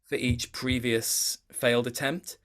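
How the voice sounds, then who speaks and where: A man in relaxed conversation as an interview guest. He feels his way through his thoughts with hesitations, in a mid-pitched voice, and plays himself down a little. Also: measured pace. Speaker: interview guest, video game voice actor. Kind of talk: casual chat.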